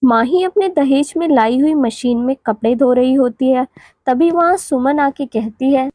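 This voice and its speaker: A woman's voice: sits high, measured pace, warm tone, no echo, studio quality. A woman giving scripted narration for a cartoon moral story.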